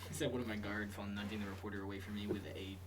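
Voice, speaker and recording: A man's voice: fairly low pitch, flat delivery, fast talker, far from the mic. A man hosting a group game playthrough, reading story narration in an informal, jokey way with some stumbles.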